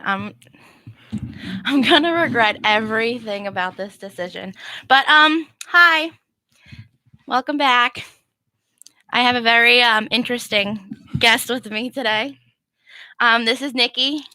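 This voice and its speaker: A young woman with a fairly high, bright voice hosts a casual chat about hockey. She teases her guest playfully, and the sound is close and dry. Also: unhurried, long pauses, no echo, poor audio. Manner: teasing, playful, laughs often. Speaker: host.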